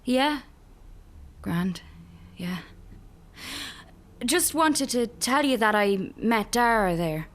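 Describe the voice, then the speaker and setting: A woman with an Irish accent playing a fragile, hopeful young woman recovering from a crisis, speaking haltingly in a naturalistic radio drama. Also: on the high side, lively intonation, a little hiss or hum. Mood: tentative, casual.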